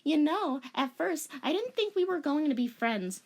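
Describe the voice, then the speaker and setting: Woman acting boastful female bending master, flirty, gushing, high and animated, fanfiction reading. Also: normal speed. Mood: excited.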